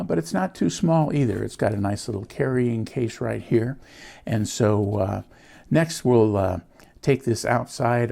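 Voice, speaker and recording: An American man giving a casual product walkthrough, explaining things in an unhurried, plain-spoken way. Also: medium pitch, gravelly, a little mellow. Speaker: host of a gadget review channel.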